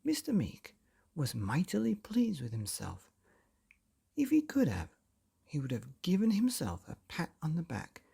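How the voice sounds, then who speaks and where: A man reads a children's story with a mellow, gravelly voice. His delivery is dramatic and lively in pitch, with long pauses between phrases. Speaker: bedtime story podcast host and reader. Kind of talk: scripted children's story narration.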